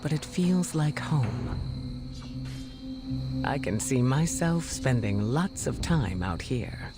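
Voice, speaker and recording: Composed superhero leader over music. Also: female voice, rumbling low, sing-song, slow, exaggerated voice. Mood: gracious, warm. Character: composed mature female superhero leader, caring and dignified. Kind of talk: video game dialogue acting.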